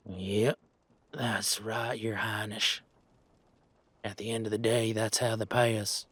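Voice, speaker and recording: A man voicing a rough, cagey hired brigand who talks in a laconic, folksy, evasive way in a fantasy audio drama. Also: fairly low pitch, little pitch movement, slow pace, fairly bright tone, no echo, heavily acted. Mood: plain insistence.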